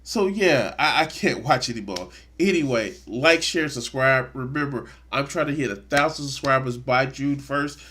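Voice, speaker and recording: A man's voice: medium pitch, moderate pace, hardly any pauses, pushed and bright, husky. A man streaming a reaction video, talking with indignant, profane sarcasm. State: congested. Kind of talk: casual reaction commentary.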